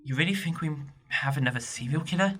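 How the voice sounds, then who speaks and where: An actor playing a gruff, touchy and sarcastic police sergeant in an amateur radio play. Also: male voice, fairly high pitch, fast, a bit bright, lightly acted. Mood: sardonic, impatient. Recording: faintly noisy amateur recording with clicks.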